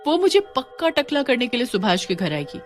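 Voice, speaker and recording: A woman's voice: fairly high pitch, sing-song, a little fast, soft background music. A woman voicing an indignant, distressed young village wife in a cartoon horror story, with dramatic, complaining delivery. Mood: scheming. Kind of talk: acted cartoon dialogue.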